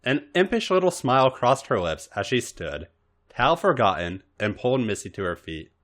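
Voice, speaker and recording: A man reading scripted fiction aloud as an expressive story narrator. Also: medium pitch, normal speed. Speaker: podcast story reader.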